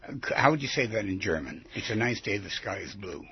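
A man with a very husky, gravelly voice hosts a radio interview in a conversational way. He circles back and rephrases his questions as he probes. The audio is somewhat dull and lo-fi. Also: lowish voice, animated, a little fast, dry recording. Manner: probing, repeats and rephrases questions. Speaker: radio show host. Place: radio studio.

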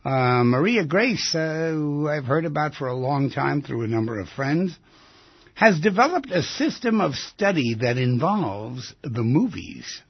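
Husky-voiced male radio host. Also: a little high, sing-song, a little slow, dry recording. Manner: probing, leading the guest with questions. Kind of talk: radio interview. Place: radio studio. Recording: dull lo-fi radio studio audio.

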